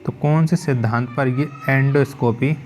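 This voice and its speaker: A man's voice: medium pitch, fairly even intonation, moderate pace, a little mellow, soft background music. A male teacher reading out exam quiz questions, then repeating each question and its answer back to the viewer.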